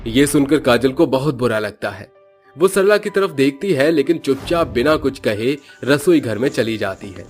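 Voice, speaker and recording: A man narrating a scripted cartoon story in a steady, plain manner. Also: medium pitch, normal speed, faint music behind.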